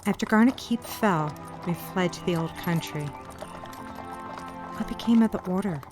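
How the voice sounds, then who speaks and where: A woman playing a gentle, searching companion of a knight in a fantasy audio drama. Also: fairly low pitch, unhurried, natural voice. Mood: questioning. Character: questioning woman warrior, knight's beloved, gentle. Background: music, horses' hooves.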